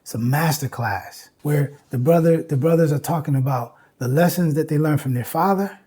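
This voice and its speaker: A man's voice: a little high, moderate pace, mellow, breathy edge. A man giving an informal lecture with recited lyrics, speaking emphatically and repeating phrases for emphasis. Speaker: host and lecturer on music history.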